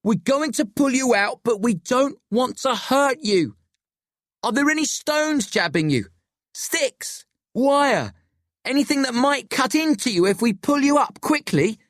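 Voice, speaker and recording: A wary boy leader acted by a narrator, with a raised pitch and an urgent, controlled, bossy delivery. The storybook dialogue is recorded dry. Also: animated, a little slow, a bit bright, no echo. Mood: controlled, soothing. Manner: stern, urgent, soothing by effort.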